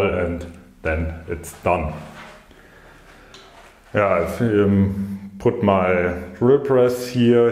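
Slow, deep-voiced man in an echoey basement. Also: a little mellow. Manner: halting, many uh fillers and restarts, searches for words. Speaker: maker showing his workshop. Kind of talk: casual walkthrough, narrating while filming. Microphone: handheld camera mic. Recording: echoey bare room.

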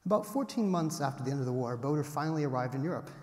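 A man in his forties giving an academic lecture from a podium, measured and explanatory, stressing each item as he lists them. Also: mid-range voice, animated, a little mellow. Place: large auditorium, at a podium. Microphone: podium microphone. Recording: roomy hall with long reverb.

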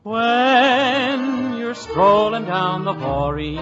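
A man with a high tenor voice singing and joking on a vintage radio show. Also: fairly even intonation, very slow, music in the background. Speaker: singer and comedian. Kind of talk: 1940s radio song and banter. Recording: dull old radio broadcast with orchestra.

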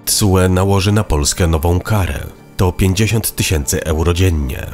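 Deep-voiced male news narrator. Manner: even, measured reading. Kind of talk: scripted news voice-over. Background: faint background music.

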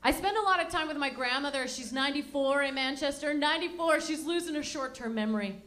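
Canadian lady in her 30s doing standup, high voice, chatty and rambly, handheld mic in a small club. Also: fast talker, a bit bright. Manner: chatty, rambling, self-mocking. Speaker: stand-up comedian and MC. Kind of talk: stand-up comedy set. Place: small dark lounge with a live audience.

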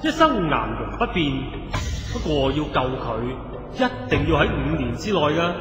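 Solemn dragon deity, radio drama, measured and stately, highish voice, cavernous echo, music behind. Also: male voice, sing-song, lo-fi sound. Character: solemn male dragon god of healing, ancient and all-knowing. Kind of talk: Cantonese radio drama acting.

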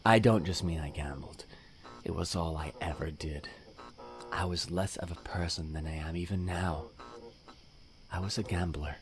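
An actor playing a deranged, nihilistic serial killer in an audio drama, brooding and menacing in a breathy voice that often drops to a whisper. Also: male voice, low voice, over music. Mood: confessional. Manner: slow, brooding monologue in clipped phrases. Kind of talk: audio drama villain monologue.

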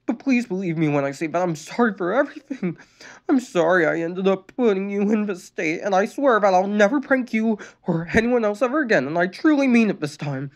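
A man's voice: high voice, animated, a little fast, voice pushed up. A man voicing a remorseful teenage girl who stammers through tearful apologies. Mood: tearful pleading.

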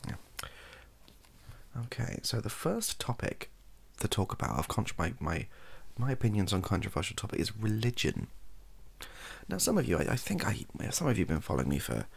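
A young man chatting softly in a rambling, self-deprecating ASMR style, hesitant and husky, close to the microphone. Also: fairly low pitch, sing-song, fairly quick. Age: young adult. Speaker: ASMR video creator. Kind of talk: softly spoken ASMR chat. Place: small bedroom at a desk.

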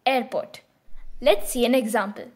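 A woman hosting her own channel, giving a scripted beginner lesson with calm, clear prompts. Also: a little high, moderate pace. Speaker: language teacher and channel host.

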